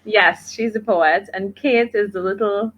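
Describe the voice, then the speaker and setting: A woman's voice: mid-range voice, unhurried, a bit bright. A woman with a Guyanese accent chatting casually as an interview guest over a video call. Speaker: interview guest, makeup artist. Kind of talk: casual chat. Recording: muffled, noisy video call audio.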